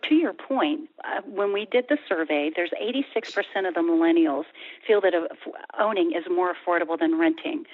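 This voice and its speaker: A woman guest on a radio show, explaining steps in a steady, informative way. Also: lowish voice. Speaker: bank executive guest. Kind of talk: radio interview over the phone. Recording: tinny phone line.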